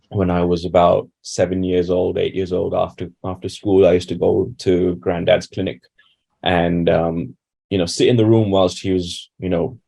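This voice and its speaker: A man's voice: sits low, vocal fry, warm tone. A man from India giving reflective, conversational answers as a doctor being interviewed. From Bangalore, India.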